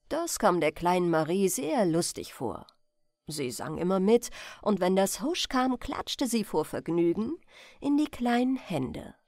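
A woman reading a bedtime fairy tale as an expressive, gentle audiobook narrator. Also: lowish voice, no echo, studio quality. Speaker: storyteller, audiobook reader.

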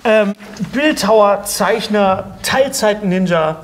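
A man's voice: high-pitched, slow pace. A middle-aged man from Germany chatting casually and jokingly as host of an interview show. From Germany, grew up around Bottrop. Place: small indoor talk-show studio.

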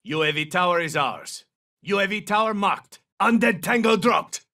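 Hardened game soldier, terse combat callouts, really forceful, pitch jumps around a lot. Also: male voice, expressive, normal speed, recorded from a distance, exaggerated voice. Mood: low, alert. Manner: clipped, terse, urgent radio-style callouts. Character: tense, battle-hardened male special forces soldier.

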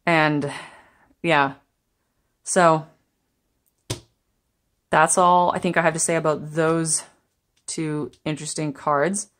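A woman's voice: low voice, level tone, slow, pauses often. A young woman giving a chatty, meandering tarot reading to viewers, laughing now and then as she talks. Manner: chatty, meandering, gentle, laughs while talking. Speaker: tarot reader. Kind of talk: pick-a-card tarot reading. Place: indoors at a table.

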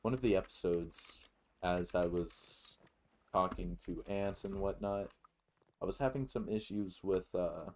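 Deep-voiced, slow-talking man rambling over a game. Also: darkish tone. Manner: rambling, sweary. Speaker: gaming YouTuber. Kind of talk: casual let's play commentary.

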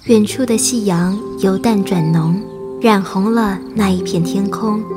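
A woman's voice: mid-range voice, measured pace, close to an everyday voice. A woman acting an earnest, wistful teenage Vietnamese immigrant schoolgirl in a radio drama, both in dialogue and narration. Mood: resolute, hopeful. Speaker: voice actor and narrator. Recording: music behind the voice.

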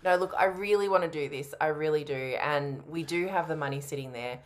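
A woman's voice: deep voice, brisk. A woman in her thirties on a video call from home, answering casually as the prospect in a sales roleplay. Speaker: coaching trainee playing a sales prospect.

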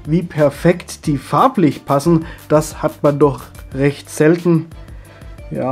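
A middle-aged man, stuffy and husky from a cold, chatting his way step by step through a hands-on hobby tutorial. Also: a little high, darkish tone. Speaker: model railway hobby video host.

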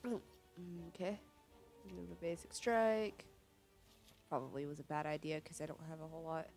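A young woman sniffly with a cold, thinking aloud in low-key, casual commentary as she plays a video game. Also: moderate pace, a little mellow. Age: young adult. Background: game music.

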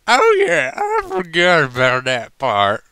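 A man's voice: fairly high pitch, wildly swooping pitch, takes it slowly, sharp tone, clean tone, dry recording, clean studio sound, pitched above the performer's own voice, heavily acted. A man voicing a stubborn, earnest, drunken female country pony in a storybook, with a slurred American Southern drawl and folksy, blurting manner. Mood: tipsy, earnest. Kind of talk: fan fiction audiobook character voice.

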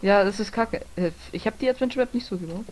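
A woman's voice: medium pitch, fast, a little mellow, a little hiss or hum. A young woman chatting casually while playing a game with friends, teasing them, laughing often and swearing lightly. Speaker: Let's Play gamer. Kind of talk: casual gaming chat.

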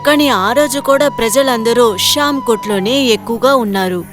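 A woman's voice: fairly high pitch, moderate pace. A woman narrator reading a scripted moral story for children. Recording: loud music behind the voice.

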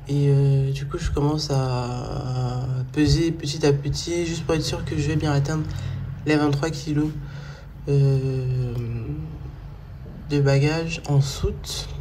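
A woman's voice: sits low, mellow, a little hiss or hum. A young woman chatting casually in a vlog, thinking aloud with many fillers, her nose stuffed up from a cold. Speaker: vlogger.

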